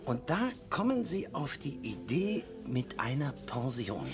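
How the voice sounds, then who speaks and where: An actor playing an eager, touchy male amateur crime writer who repeats himself excitedly, in radio play style. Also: mid-range voice, measured pace, music in the background. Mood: proud, excited. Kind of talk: German radio play acting.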